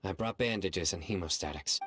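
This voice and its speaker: A stern video game general, very low in pitch. He is calm and protective, speaking in a terse, authoritative way. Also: brisk. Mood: dutiful, protective. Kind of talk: video game character acting.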